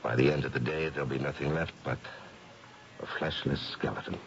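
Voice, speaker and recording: An actor playing an older, gray-haired occult investigator in a 1970s radio drama, theatrical and probing, with deliberate dramatic pauses. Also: male voice, extremely low pitch, fairly even intonation, creaky, soft background music. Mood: solemn, philosophical. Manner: deliberate, dramatic pauses with hesitant lead-ins. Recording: muffled, noisy 1970s radio recording.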